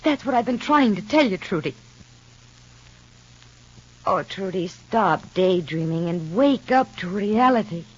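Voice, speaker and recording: Exasperated, husky American mother, middle-aged, 1950s radio serial, muffled archive sound. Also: medium pitch, animated, a little slow, long pauses. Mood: earnest, pleading. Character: insistent, exasperated mother figure lecturing a younger woman. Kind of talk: 1950s radio soap acting. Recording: dull vintage radio recording.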